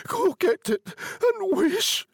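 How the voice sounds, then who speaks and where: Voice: high-pitched, sing-song, slow, darkish tone, dry recording, clean studio sound, voice pushed up, heavily acted. A storyteller voicing a desperate, grief-maddened old woman who pleads wildly in a dramatic story reading.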